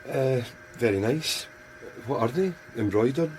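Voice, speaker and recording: Man doing a mild put-upon cop in a radio play, middling voice, clear background ambience. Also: mid-range voice, lively intonation, measured pace, noisy background, lightly acted. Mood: bemused. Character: polite, slightly put-upon male police detective. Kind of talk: radio drama acting.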